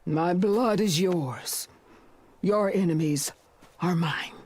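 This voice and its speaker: A woman's voice: lowish voice, darkish tone, breathy edge. A woman playing a proud, defiant defeated rebel warrior in a video game, with dramatic, scornful delivery. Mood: grim, solemn. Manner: dramatic, scornful, slow and weighty.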